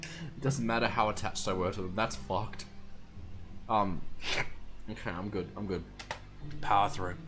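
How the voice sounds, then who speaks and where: A hoarse young man losing his voice, giving casual, swearing, nervous game commentary. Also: fairly low pitch, fairly even intonation, unhurried, long pauses, over music. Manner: swears a lot, nervous and joking. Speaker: let's play gamer.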